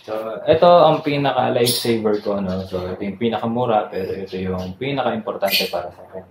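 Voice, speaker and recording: A Filipino man with a low voice talks casually as a vlog host. His speech is conversational, dotted with small tag words. The recording is roomy and echoey, with clear noise behind him. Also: a little fast. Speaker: motovlogger and channel host. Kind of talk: casual vlog product rundown.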